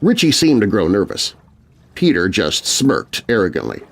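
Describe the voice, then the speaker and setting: A man's voice: fairly low pitch, big pitch swings, moderate pace, vocal fry. An American man narrating a scripted scary story for children in a dramatic, suspenseful manner.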